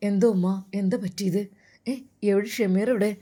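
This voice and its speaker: A woman's voice: mid-range voice, steady tone, moderate pace, warm tone, natural voice. A woman storyteller voicing a concerned young man who speaks earnestly to reassure an older mother. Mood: alarmed.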